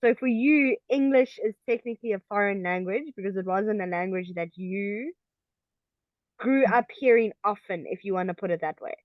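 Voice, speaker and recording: A woman's voice: mid-range voice, moderate pace, dry recording. A woman teaches English over an online call. She speaks patiently and checks her student's understanding with frequent okays. Her voice comes through dry and a little dull. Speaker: English tutor.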